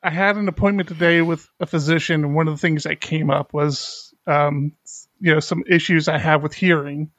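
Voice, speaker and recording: A middle-aged man hosting a podcast in a candid, halting way, with a slight speech impediment and many restarts. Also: on the high side, steady tone, fairly bright tone, dry recording, muffled recording. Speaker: podcast host. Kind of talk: casual chat.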